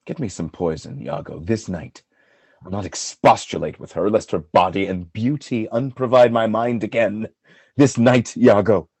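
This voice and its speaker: A man playing a tormented, jealous general and husband in a Shakespearean radio drama, husky and dramatic, swinging between brooding and outcry. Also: fairly low pitch, moderate pace, a little mellow, no room sound. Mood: cold vengeance.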